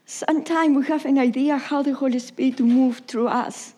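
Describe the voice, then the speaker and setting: A woman pastor from Argentina with an Argentine accent, whose first language is Italian, preaching a sermon in halting, repetitive English that sometimes drops to a whisper. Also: sits high, steady tone, mellow. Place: church service.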